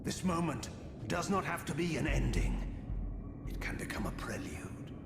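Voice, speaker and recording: A man playing a scheming, weary vampire lord in a video game, declaiming in a theatrical, measured, commanding way. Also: fairly high pitch, big pitch swings, vocal fry. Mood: urgent, persuading. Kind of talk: dramatic video game acting. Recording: echoey reverberant hall under loud music.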